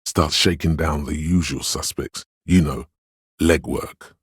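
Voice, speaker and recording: An actor playing the inner voice of authority in a video game, a proud, domineering man speaking with deliberate, imperious command. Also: a bass voice, fairly even intonation, husky, no echo, clean studio sound, heavily acted. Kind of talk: scripted video game voice acting.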